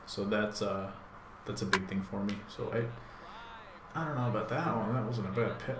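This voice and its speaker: A man with a deep voice hosting a gaming channel, thinking aloud in a laid-back, rambling way with plenty of fillers while he plays. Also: big pitch swings, normal speed, crowd noise. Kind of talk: casual live gameplay commentary. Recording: echoey room with background noise.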